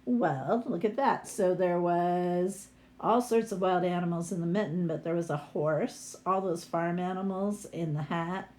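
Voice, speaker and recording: A woman's voice: lowish voice, darkish tone. A woman reading a picture book aloud as a teacher would, with explanatory asides to young listeners. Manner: unhurried, explanatory.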